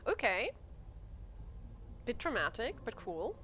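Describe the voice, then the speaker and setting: A woman's voice: fairly high pitch, sing-song, slow pace, fairly bright tone, slight background noise. A woman streaming a game with casual, informal commentary, joking and blunt.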